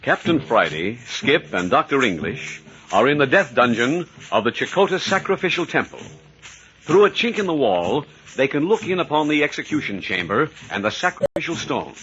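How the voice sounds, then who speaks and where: Animated male vintage radio announcer. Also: medium pitch, moderate pace. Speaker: announcer and narrator. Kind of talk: dramatic 1940s radio narration. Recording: muffled, noisy vintage radio recording.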